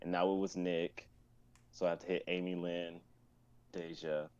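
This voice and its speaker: A man's voice: low-pitched, little pitch movement, normal speed, background noise. A man in his twenties chatting casually with fellow writers on a stream. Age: 20s. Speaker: writer and reader in a workshop stream. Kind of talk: casual chat. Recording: dull lo-fi mic, keyboard typing.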